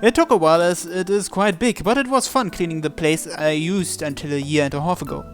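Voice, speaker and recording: A man with a somewhat high voice narrates a visual novel in the first person. He reads aloud as a let's player, with game music behind him. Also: fairly quick.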